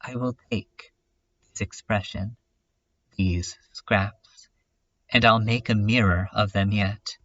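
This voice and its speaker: Man acting as a brooding dreamer, slow halting delivery, poetic introspective monologue, webcomic fan dub, dull lo-fi recording. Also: lowish voice, fairly even intonation, fairly bright tone, dry recording. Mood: wistful, wounded. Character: brooding, self-critical dreamer musing on art and self.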